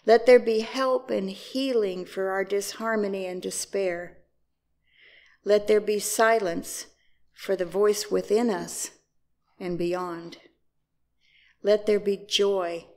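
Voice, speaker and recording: A woman reads liturgy aloud as a worship associate. Her delivery is measured and solemn, very slow, with noticeable vocal fry in a mid-pitched voice. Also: a little mellow.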